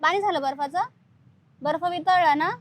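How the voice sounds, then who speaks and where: A woman's voice: very high voice, moderate pace. A woman talking playfully to a small child, coaxing with question after question as she teaches. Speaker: mother leading a play activity with her small child. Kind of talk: child-directed conversational teaching. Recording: noisy on-location sound with ambience.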